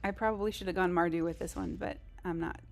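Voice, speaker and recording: Casual, slightly low-pitched woman streamer. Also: steady tone, fast talker, a little hiss or hum. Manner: rambling, self-deprecating, informal. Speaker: live streamer. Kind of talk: casual gaming stream chat.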